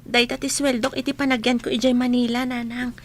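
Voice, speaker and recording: A woman's voice: a little high, level tone, fairly quick, natural voice. A woman playing a dutiful, earnest working daughter in a radio drama, with an Ilocano accent.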